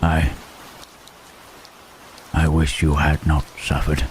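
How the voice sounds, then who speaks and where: Gentle lonely creature played by a man, very low voice, slow halting delivery, ASMR roleplay, rain and thunder behind. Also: little pitch movement, a little hiss or hum, rough recording. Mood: regretful, earnest. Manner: halting, hushed, careful speech with long pauses. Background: rain and thunderstorm ambience.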